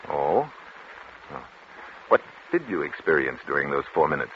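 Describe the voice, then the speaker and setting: An actor playing a grave, reassuring research doctor, measured and solemn in 1940s radio drama style. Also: male voice, very deep voice, big pitch swings. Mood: curious, probing. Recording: muffled, noisy 1940s radio recording.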